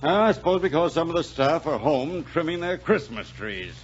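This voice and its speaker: An actor playing a crotchety elderly club gentleman in a 1940s radio drama, grumbling, hemming and hawing. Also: on the high side, lively intonation, normal speed, a little hiss or hum, close to an everyday voice. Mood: peevish, cynical. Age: older. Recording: muffled 1940s radio recording.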